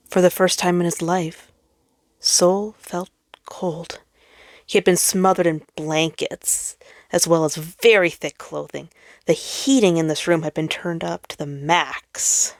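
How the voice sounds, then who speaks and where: A woman reading a story aloud as a dramatic narrator, theatrical and emphatic, with phrases that trail off softly. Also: on the low side, no room sound, clean studio sound. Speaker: fan fiction reader.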